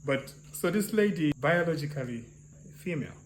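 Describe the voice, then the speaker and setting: A man with a soft, slightly high voice, thinking aloud in casual discussion with many restarts and "yeah?" tags. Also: animated, normal speed. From Uganda. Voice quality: soft, somewhat high-pitched voice. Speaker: clinical psychologist, co-host. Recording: noisy, crickets and hum.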